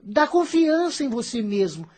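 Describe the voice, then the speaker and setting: High-pitched teen boy played by a woman, irritable, selfish, dreamy, grumbling, audio drama acting. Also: very animated, a little slow, darkish tone, breathy edge, full character voice. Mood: dreamy, explaining. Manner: curt, complaining.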